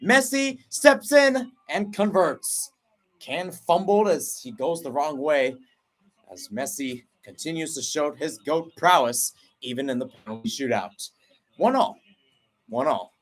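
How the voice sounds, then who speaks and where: Excited young man calling a penalty shootout. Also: measured pace. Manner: energetic, jumps in pitch and volume at each kick. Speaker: radio play-by-play announcer. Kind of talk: excited sports commentary. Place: at home, not at the stadium.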